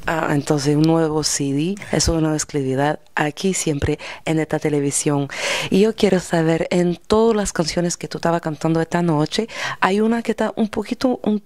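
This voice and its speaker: A man's voice: fairly high pitch, normal speed, darkish tone, dry recording. A native French-speaking man with a French accent, hosting a music TV interview.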